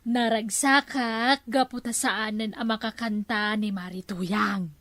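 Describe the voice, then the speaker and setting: A gleeful actress as an Ilocano village gossip with a slightly high voice. The style is neighbourhood comedy drama, recorded fairly dry. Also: female voice, fairly even intonation, a little slow, fairly bright tone, no echo.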